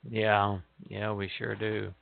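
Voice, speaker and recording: A man's voice: on the low side, completely flat delivery, slow pace, creaky, no room sound. An older man hosting a radio show, moving between reading aloud and casual chat. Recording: narrow tinny phone-like line.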